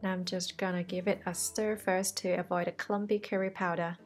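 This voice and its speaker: A woman's voice: fairly low pitch, little pitch movement, moderate pace, over loud music. A woman, the host of a cooking channel, talking through a recipe step by step in a friendly, reassuring voice-over. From Thailand.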